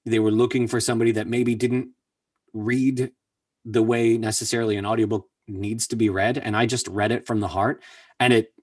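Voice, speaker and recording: Male voice actor as a podcast guest, slightly low and husky, earnest and animated. Dry and a bit off-mic. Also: normal speed, dry recording. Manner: earnest, energetic, repeats words for emphasis. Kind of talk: casual interview storytelling. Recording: distant mic, dry sound.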